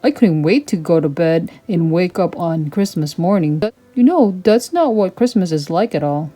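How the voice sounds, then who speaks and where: Woman reading as a gentle, mellow young man. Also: on the low side, animated, normal speed. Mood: amused, easygoing. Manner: gentle, explaining. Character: kind, reassuring young man, patient and amused. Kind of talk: children's storybook character reading.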